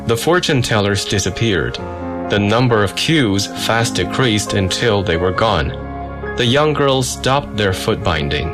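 Low-voiced man reading a memoir. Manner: measured, steady storytelling. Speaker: narrator. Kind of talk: first-person memoir reading.

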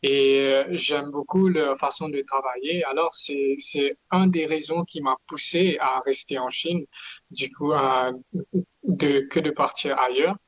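A man answering as a guest in an unscripted panel interview, halting and often repeating words. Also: on the high side, steady tone, a bit bright, dry recording, highs cut off. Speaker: interview guest, entrepreneur in China.

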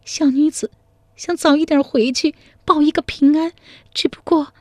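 A woman voicing a timid but quick-witted young woman in a storytelling radio serial, pleading in a soft, lilting way. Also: sits high, dry recording, clean studio sound, pitched above the performer's own voice, lightly acted. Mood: tearful worried.

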